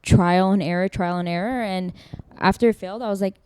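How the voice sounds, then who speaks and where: A woman in her twenties, co-hosting a podcast and talking in a relaxed, reflective way. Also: medium pitch, a little fast, clean tone. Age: 20s. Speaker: podcast co-host. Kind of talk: casual chat.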